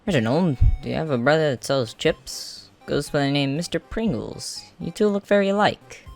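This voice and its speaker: A medium-pitched voice acting in an amateur comic dub. The delivery is expressive and theatrical, with light music running underneath. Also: normal speed, gravelly.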